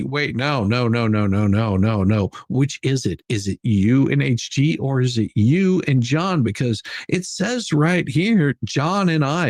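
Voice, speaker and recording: A man with a husky, creaky voice and an ordinary mid-range pitch hosts a livestream. He rambles in casual, sarcastic commentary, doubling back on his phrases. The sound is close and dry. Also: dry recording. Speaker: livestream host.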